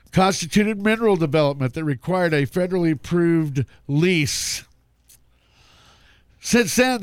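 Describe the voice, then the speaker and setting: Man, radio host, folksy news reading, slightly high voice, dry close mic. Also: no room sound. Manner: folksy, chatty, stumbles over names and words while reading.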